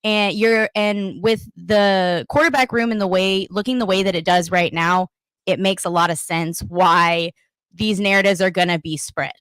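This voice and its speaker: A woman's voice: medium pitch, fairly even intonation, fairly bright tone, smooth, no room sound, rough recording. Hyped woman hosting a sports show. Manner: upbeat, hyped, quick to laugh. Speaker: podcast co-host. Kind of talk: casual sports talk show.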